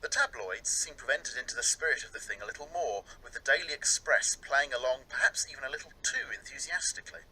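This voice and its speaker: A man's voice: medium pitch, fast, hardly any pauses, pushed and bright, husky, rough recording. A man with a British accent narrating a scripted radio feature as a reporter.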